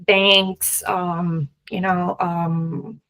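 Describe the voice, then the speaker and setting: A woman with a Peruvian accent, talking as a guest expert in a conversational interview, halting and often laughing lightly mid-sentence. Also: fairly low pitch, little pitch movement, a bit bright, poor audio. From Peru. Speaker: guest expert, venture capital professional.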